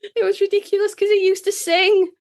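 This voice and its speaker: A woman co-hosting a podcast in casual chat, a little hoarse and nasal from being sick, telling stories in a chatty, swearing way. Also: very high-pitched, fairly quick. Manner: chatty, swearing, animated storytelling. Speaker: podcast co-host.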